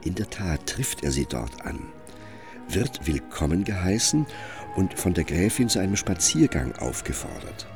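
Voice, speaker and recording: A man with a deep voice narrates a literary radio drama. His storytelling is slow and measured, and music plays clearly behind him.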